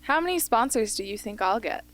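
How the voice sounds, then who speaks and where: A woman's voice: on the high side, expressive, a little fast, a bit bright, no echo. A woman playing a guarded, modest but stubborn teenage girl hunter in an amateur radio drama, plain-spoken in delivery. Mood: flat, doubtful.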